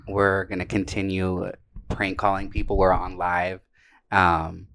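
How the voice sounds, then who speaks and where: A man's voice: low voice, creaky, fairly bright tone. A man co-hosting a casual livestream with banter and prank calls, talking in a slangy, sassy way. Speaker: co-host making prank calls.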